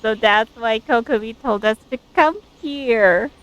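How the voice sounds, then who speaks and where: A woman's voice: a little high, unhurried, muffled recording, background noise. A woman giving casual, amused commentary as she streams a game, musing aloud in a hesitant way.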